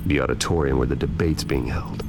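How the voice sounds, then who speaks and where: Deep-voiced brooding billionaire hero. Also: male voice, steady tone, a little fast, noisy background. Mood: grim, focused. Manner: low-key, focused. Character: serious, determined male billionaire, brooding detective hero. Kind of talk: dramatic video game acting.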